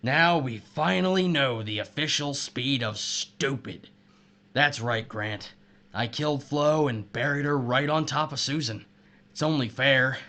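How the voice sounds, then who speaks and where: Guy playing a betrayed husband gone killer, sharp forceful voice, sarcastic and toying with his victim, audio drama. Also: mid-range voice. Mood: gloating. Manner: taunting, sardonic, drawn-out deliberate menace. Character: betrayed, vengeful husband turned sadistic murderer, missile silo worker.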